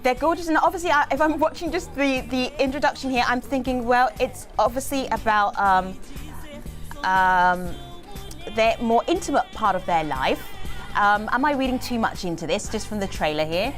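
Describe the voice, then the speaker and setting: A woman's voice: on the high side, moderate pace, soft background music. A woman hosting a studio discussion show, asking probing questions in a conversational way. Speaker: host. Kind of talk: studio talk show moderation. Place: broadcast studio.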